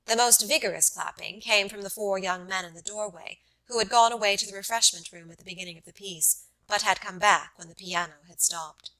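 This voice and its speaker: Woman reading a classic story audiobook, bright clear-cut voice, measured, medium pitch. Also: rough recording. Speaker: audiobook narrator. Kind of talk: scripted literary narration.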